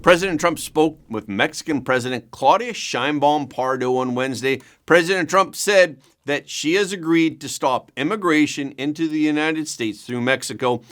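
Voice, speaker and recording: A man reading scripted news as an anchor, with a measured, emphatic delivery. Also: medium pitch, a bit bright.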